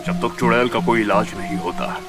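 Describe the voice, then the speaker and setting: A man's voice: fairly low pitch, steady tone, brisk, natural voice. A man voicing frightened townsmen in a cartoon, a shopkeeper and a doctor, pleading and fearful. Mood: anxious. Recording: music bed.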